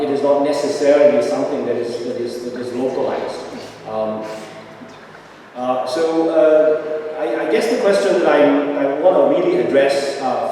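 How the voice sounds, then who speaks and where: A man's voice: medium pitch, dark tone. A man whose first language is Cantonese, giving an academic talk, hesitant, with many fillers, repeated words and a frequent "right?". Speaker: academic lecturer. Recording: echoey hall, distant mic, faint noise.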